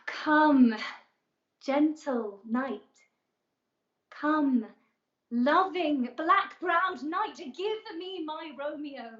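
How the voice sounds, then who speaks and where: A woman performs an impatient young heroine deep in love, in a high voice. The verse is declaimed slowly and full of longing, as classical stage Shakespeare. The sound is echoey, from a live-streamed room. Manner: poetic, yearning, declamatory delivery. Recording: roomy, dull livestream audio.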